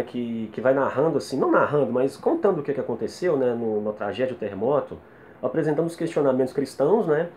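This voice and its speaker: A man's voice: mid-range voice, warm tone. A Brazilian man in his thirties reviews music casually to camera in a conversational way, often adding little tag questions, speaking Brazilian Portuguese. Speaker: music reviewer, video host. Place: small plain room indoors. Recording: noisy home room ambience.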